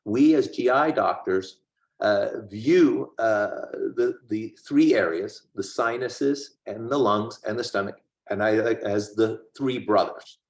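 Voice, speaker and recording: A man with a fairly low voice gives a medical lecture as a gastroenterologist. He explains things in a plain, conversational way. The sound is roomy and echoey. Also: takes it slowly.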